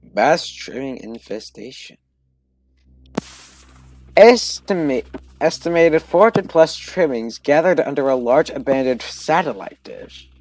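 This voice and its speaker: A man's voice: medium pitch, lively intonation, slow pace, darkish tone, rough recording. A man hosting a reaction video with casual, joking commentary and informal interjections.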